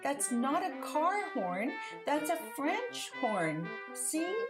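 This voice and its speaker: A woman's voice: high voice, sing-song, slow pace, music in the background, pitched above the performer's own voice, heavily acted. A woman voicing a friendly man who talks fondly to his pet dog in a picture book, coaxing and reassuring. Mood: amused, explaining. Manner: coaxing, reassuring, gently explaining.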